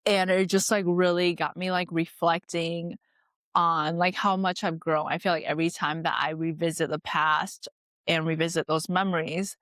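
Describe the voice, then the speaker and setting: A woman in her late twenties, born and raised in Taiwan, talks through her past in a loose, chatty podcast voice, leaning on "like" as she reflects. Her voice sits a little low for a woman. The recording is dry and close, with no room sound. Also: level tone, normal speed, fairly bright tone, no echo. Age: late 20s. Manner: chatty, frequent "like" fillers, reflective. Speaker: podcast host. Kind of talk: casual personal storytelling.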